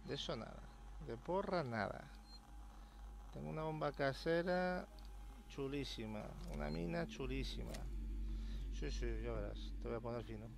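A man's voice: medium pitch, moderate pace, vocal fry, pauses often, noisy background. A man with a European Spanish accent giving casual, sardonic gameplay commentary, muttering and swearing as he goes. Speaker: gaming YouTuber. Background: loud game music.

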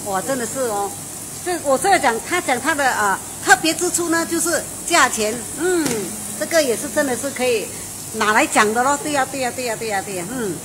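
A bubbly middle-aged woman runs a casual food show, her voice sitting fairly high. She chats enthusiastically, full of exclamations and fillers. The noisy restaurant around her comes through clearly on a handheld phone camera. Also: animated, a little fast. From Malaysia. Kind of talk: casual restaurant review chat. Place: inside a restaurant.

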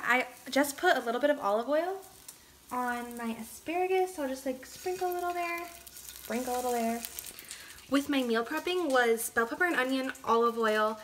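A woman's voice: sits high, fairly bright tone, a little hiss or hum. A young woman in her twenties chatting casually to her phone camera as a fitness vlogger, giggly and full of fillers. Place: home kitchen, gym and nail salon. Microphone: phone held at arm's length.

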